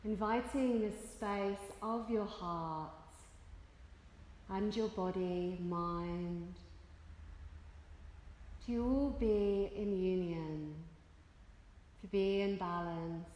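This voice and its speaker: A woman yoga teacher narrating a guided relaxation in a soothing, measured way with long pauses between phrases. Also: medium pitch, a little mellow. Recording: echoey room with long reverb.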